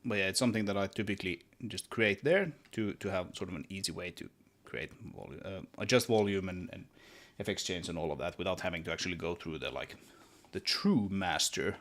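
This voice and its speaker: A man with a Swedish accent and a deep, low voice chats casually while he works at his desk. He thinks aloud, restarting and repeating words in a relaxed, rambling way. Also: fairly quick, hardly any pauses. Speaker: solo game developer streaming. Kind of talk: casual livestream chat while working.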